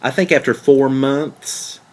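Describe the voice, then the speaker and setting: A man's voice: mid-range voice, fairly even intonation, takes it slowly, natural voice. A man playing a jealous, hurt boyfriend in a dramatic table read, questioning in a pointed, sardonic way. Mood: suspicious, probing. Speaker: actor in a script table read.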